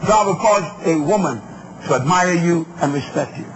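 A male preacher with a high-pitched voice delivers an impassioned sermon in a declamatory style. He drives points home in short, repeated phrases and puts questions to his congregation. The live recording is heavily covered in noise. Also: expressive, moderate pace. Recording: very noisy live speech recording.

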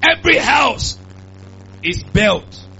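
Pastor guy in his late 20s, high lively voice, preaching loud, kinda muffled with music under it. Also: slow, gravelly, slight background noise. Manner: preaching, bursts of shouting. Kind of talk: sermon. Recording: dull lo-fi sound with music.

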